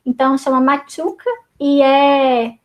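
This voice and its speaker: A woman's voice: high-pitched, steady tone, measured pace. A woman with a Brazilian Portuguese accent, a teacher leading an online reading circle, chatty, with many fillers and restarts. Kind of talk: online class discussion. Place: home, video call. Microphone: computer mic on a video call.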